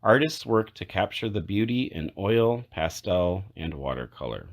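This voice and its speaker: Deep-voiced man reading a storybook aloud. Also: expressive, moderate pace. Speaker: children's book read-aloud narrator. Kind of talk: read-aloud storybook narration.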